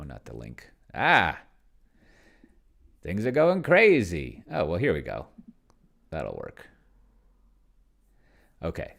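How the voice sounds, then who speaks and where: A man with a low, gravelly voice talks casually through his own game as host. His pitch swings in a lively way while he thinks aloud, rambling and hesitating, often leaving a thought half finished. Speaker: solo tabletop roleplayer and host, narrating own play session. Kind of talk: casual unscripted play-along commentary, thinking aloud.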